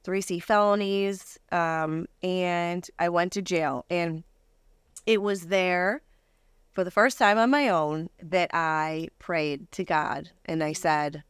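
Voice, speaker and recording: Female interview guest, lower register, close dry sound, conversational first-person testimony. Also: fairly even intonation, slow pace, clear, resonant tone, dry recording.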